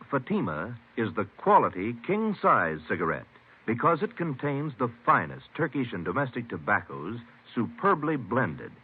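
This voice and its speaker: A man's voice: mid-range voice, slightly rough. A man reading a 1950s radio advertisement as an announcer, with an emphatic sales delivery. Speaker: commercial announcer. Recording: tinny old radio recording.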